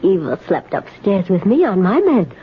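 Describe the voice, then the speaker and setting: An actress playing a forceful young girl in a radio drama, bossy, taunting and self-assured. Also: medium pitch, big pitch swings, normal speed, breathy edge. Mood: taunting, sly. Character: forceful, brazen young girl who moves in uninvited. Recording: dull, noisy old radio broadcast.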